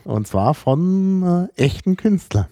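A man hosting a podcast in a relaxed, chatty conversation, laughing now and then as he talks. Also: high voice, slow, no room sound, clean studio sound. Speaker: podcast host.

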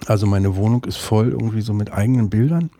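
A middle-aged man from Berlin, with a clear Berlin accent, speaks as a guest on a podcast. He talks thoughtfully, pausing and restarting as he gathers his words. His voice sits fairly low and sounds warm and mellow, and the recording is dry and close. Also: dry recording. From West Berlin, Germany. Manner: thoughtful, hesitant, with frequent fillers and restarts. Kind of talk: casual interview conversation.

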